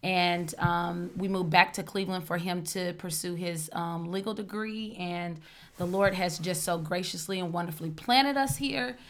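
A woman from Oklahoma hosting a podcast, upbeat and expressive in casual chat. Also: fairly low pitch, normal speed. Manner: upbeat, expressive, says "honey". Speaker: podcast host.

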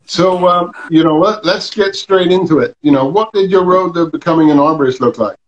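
A man hosting a casual interview chat, speaking haltingly with many fillers and restarts. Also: a little high, reverberant, muffled recording. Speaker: podcast host.

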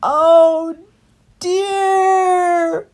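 Storybook role: small timid male creature played by a woman, high squeaky voice, prone to tearful despair and dramatic wails. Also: flat delivery, drawn-out words, darkish tone, heavily acted. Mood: frightened wailing. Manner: wailing, dramatic outbursts.

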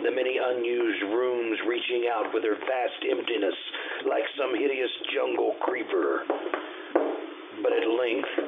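A man playing an uneasy, loyal gentleman who narrates a gothic old-time radio drama in a deliberate, dramatic way. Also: medium pitch, close to an everyday voice. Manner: deliberate, dramatic, pausing between phrases. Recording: tinny narrow-band radio recording, loud hiss.

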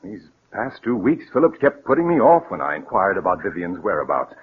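An actor playing a nervous, scheming murderer who poses as a worried brother, stammering and repeating himself in 1940s radio drama style. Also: male voice, sits low, sing-song, a little fast, breathy edge, heavily acted. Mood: anxious, feigned worry. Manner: hesitant, stammering, repeats words. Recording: muffled, scratchy 1940s radio broadcast.

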